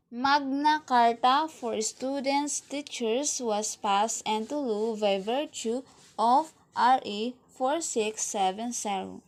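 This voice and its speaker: A young woman with a Filipino accent reads through a slide presentation from a webcam at home, working through lists and trailing off quietly at the ends of lines. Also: on the high side. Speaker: student presenter.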